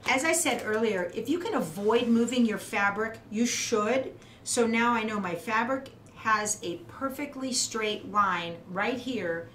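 A woman's voice: a little high, measured pace, hardly any pauses, a bit bright. Woman teaching a craft in an echoey room. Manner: instructional, methodical. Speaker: quilting instructor. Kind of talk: hands-on demonstration, explaining step by step. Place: indoor craft studio at a cutting table. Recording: roomy echo, clear background noise.

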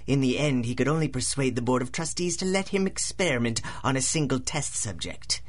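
Man playing a husky, vengeful supervillain. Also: medium pitch, expressive, lightly acted. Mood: grandiose. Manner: theatrical, menacing, deliberate. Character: vengeful male supervillain, grandiose, bitter, unhinged. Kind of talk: 1930s-style radio drama acting.

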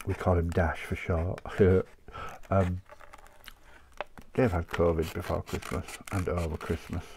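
A man's voice: low-pitched, level tone, measured pace, darkish tone, a little hiss or hum. A man with a chesty cough, chatting in a rambling way and laughing often while cutting paper at his craft desk. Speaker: craft channel host. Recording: paper crinkling close by.

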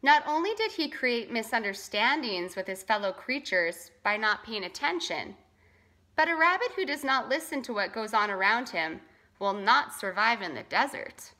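A kindly creator spirit from a children's storybook, played by a woman, speaks in a high, bright voice. The delivery is earnest and reassuring, with lively melodic swings. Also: normal speed. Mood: thoughtful. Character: kindly, gentle male creator spirit comforting animals. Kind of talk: children's storybook read-aloud.

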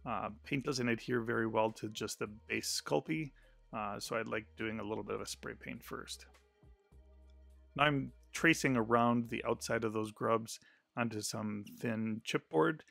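Guy doing a craft how-to voiceover, gravelly, lots of uhs, super dry sound. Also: medium pitch, fairly bright tone, no room sound. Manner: plain explanatory voice-over with frequent "uh" fillers. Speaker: crafting tutorial host. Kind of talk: step-by-step craft narration.

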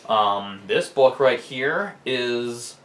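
A middle-aged American man in casual discussion, haltingly thinking aloud as he gives his opinions in a living room. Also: mid-range voice, lively intonation, a bit bright. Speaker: co-host giving opinions. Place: living room couch, indoors. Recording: roomy echo, faint background noise.

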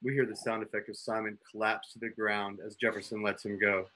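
A man's voice: on the low side, little pitch movement, fairly quick, pushed and bright. A man narrating a radio drama, reading stage directions and sound cues aloud over a video call. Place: room at home, on a video call.

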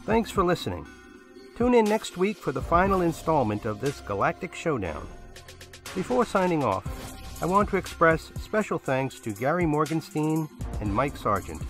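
A man's voice: a little high, fairly bright tone. A man reading a scripted podcast outro as the show's host. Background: music.

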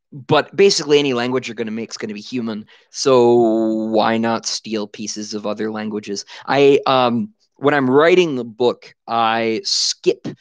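A man in his thirties answering viewer questions in a casual livestream from a small room at home, on a headset mic, animated and full of fillers and restarts. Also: mid-range voice, no echo. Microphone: headset boom mic.

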